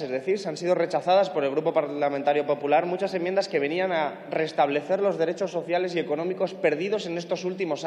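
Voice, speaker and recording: A young man delivers an insistent speech from a parliamentary lectern. His voice sits a little high for a man. A long reverb from the big chamber trails behind each phrase. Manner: forceful, insistent, building lists for emphasis. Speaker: member of parliament. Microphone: lectern microphone.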